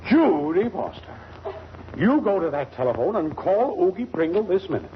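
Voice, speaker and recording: A man's voice: sits high, big pitch swings, moderate pace. A man playing a blustering, exasperated family father with emphatic, sputtering delivery in a 1940s radio comedy. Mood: outraged. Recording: dull, noisy 1940s radio recording.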